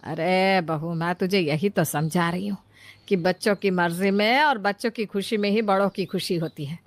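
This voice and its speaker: A woman's voice: lowish voice, moderate pace, no echo, natural voice. A woman voicing a scolding, worldly-wise mother-in-law who lectures in a cartoon moral story. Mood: advising. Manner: chiding, lecturing. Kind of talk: acted Hindi moral-story cartoon dialogue.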